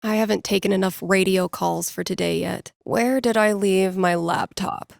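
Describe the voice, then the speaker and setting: An actress playing a wry, nerdy young record-store clerk and radio DJ in a video game, muttering to herself in a dry, sardonic, conversational way. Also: female voice, medium pitch, steady tone, normal speed, creaky, no echo. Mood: casual, preoccupied. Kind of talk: naturalistic video game voice acting.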